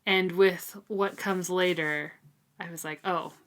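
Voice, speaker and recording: A woman with a slightly low voice chats as a podcast co-host. She discusses a book casually, in an informal, talkative manner full of small filler words like "like" and "super". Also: steady tone, slow. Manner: chatty, frequent "like" and "super".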